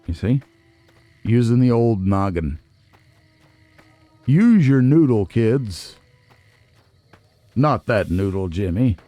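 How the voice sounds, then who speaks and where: A man's voice: on the low side, big pitch swings, slow, pauses often, mellow, no echo, soft background music. A man hosting a let's play, chatting casually and playfully to himself and viewers with folksy, wry asides. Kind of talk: casual game commentary, talking to himself and the viewers.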